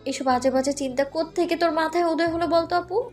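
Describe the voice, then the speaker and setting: A woman's voice: extremely high pitch, fast talker, darkish tone, soft background music. An actress playing a headstrong, insistent younger sister, a young woman, in an audio story. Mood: incredulous.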